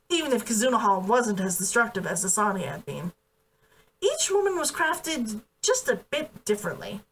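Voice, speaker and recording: A woman giving an expressive read-aloud of a novel as its narrator. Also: fairly high pitch. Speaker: author reading own book aloud. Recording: distant from the mic.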